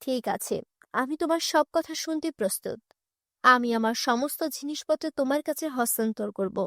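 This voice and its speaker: A woman's voice: high voice, normal speed, a bit bright, no room sound, clean studio sound. A woman voicing an anxious female school principal in a cartoon tale, pleading and submissive. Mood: resigned.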